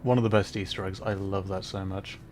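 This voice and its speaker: A man's voice: low-pitched, steady tone, fairly quick, darkish tone, a little hiss or hum. A young adult man chatting casually while streaming a game, rambling and correcting himself, with frequent laughs.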